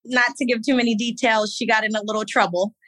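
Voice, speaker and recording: A woman in her thirties from Haiti, a podcast guest telling her story in an unhurried, reflective way with fillers. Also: fairly high pitch, steady tone, a bit bright, no room sound. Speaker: guest, business owner and Navy veteran. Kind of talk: casual interview talk.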